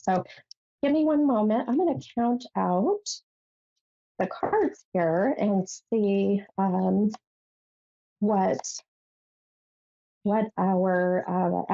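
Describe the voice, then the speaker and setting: Nasal, gravelly-voiced woman psychic host. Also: medium pitch, warm tone, dull audio. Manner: chatty, rambling with many fillers. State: nasal from a head cold. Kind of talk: casual tarot call-in talk.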